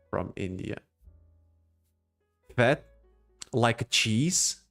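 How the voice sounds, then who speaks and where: A man's voice: mid-range voice, expressive, drawn-out words, gravelly, fairly bright tone, faint music behind. A man with a non-native English accent hosting a casual crypto live stream, joking and rambling as he answers chat. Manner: rambling, joking, with laughs and restarts.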